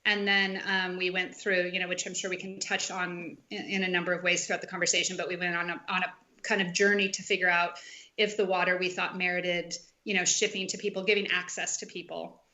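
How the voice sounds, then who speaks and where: A woman with a bright, forward voice talks in a loose, rambling way, full of "um" and "you know". She is a podcast guest chatting casually about her business and where she grew up in Hawaii. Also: lowish voice, level tone, brisk, muffled recording. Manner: chatty, rambling with many fillers. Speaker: podcast guest, spring water business owner. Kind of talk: casual interview conversation.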